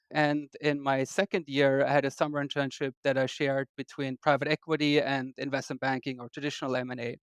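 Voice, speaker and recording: A man, a podcast guest explaining his work in a measured, polite way. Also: mid-range voice, clean tone, no room sound. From Austria. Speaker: guest investor. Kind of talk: podcast interview.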